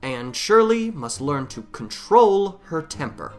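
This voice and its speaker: Woman doing a low, stern male schoolmaster. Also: big pitch swings, slow pace, soft background music, heavily acted. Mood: solemn, stern. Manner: solemn, pompous.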